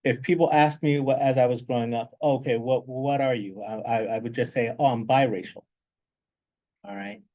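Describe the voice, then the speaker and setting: A man giving reflective advice as a guest speaker, in a halting way that repeats words as he thinks. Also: mid-range voice, steady tone, darkish tone. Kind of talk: reflective advice in an informal talk.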